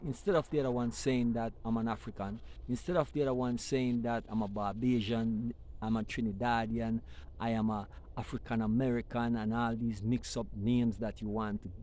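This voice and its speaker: Male host, mellow low-bright voice, impassioned preachy delivery, slight background hiss. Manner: preaching, repetitive, building emphasis. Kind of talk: impassioned community talk.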